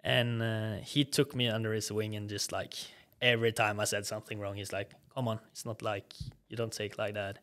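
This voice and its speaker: A young man with a strong Norwegian accent talks in a slightly low voice, easygoing and casual. He fills his sentences with "like" and "yeah" as a podcast guest, speaking into a desk mic in an office studio. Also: a little fast, few pauses. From Norway. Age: young adult. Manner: relaxed, fills speech with "like" and "yeah". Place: glass-walled office studio. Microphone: desk podcast mic with headphones.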